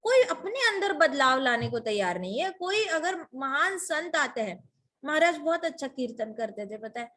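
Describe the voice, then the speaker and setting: High-pitched woman, devotional storyteller, emphatic, full of rhetorical questions. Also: very animated, normal speed, pushed and bright. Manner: mixes English words into Hindi.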